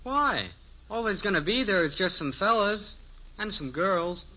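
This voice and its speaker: A man's voice: high-pitched, lively intonation, a little slow, bright, forceful tone, exaggerated voice. A man playing a grumbling, stubborn teenage son in a 1950s radio sitcom. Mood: grumbling, protesting. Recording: muffled old radio recording.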